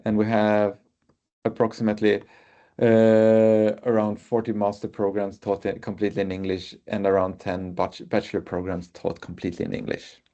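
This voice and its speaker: A man with a Swedish accent gives a university webinar presentation, often pausing on filler sounds. His voice sits low and stays fairly flat, with a mellow, dark tone. The sound is muffled, as over a video call. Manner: hesitant, frequent filler sounds. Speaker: university representative.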